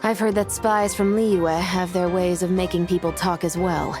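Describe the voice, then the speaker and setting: An actress playing a cool, confident patrol captain in an anime fantasy game, calm, deadpan and matter-of-fact. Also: female voice, lowish voice, moderate pace, music in the background, lightly acted. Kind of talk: video game voice acting.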